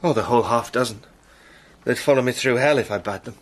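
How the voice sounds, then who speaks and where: A man's voice: mid-range voice, brisk, a little hiss or hum, close to an everyday voice. A man playing a haunted British adventurer caught up in a plot, speaking intensely and stammering under strain in a radio drama. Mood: resolved. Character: Sandy, haunted British adventurer in disguise, anguished.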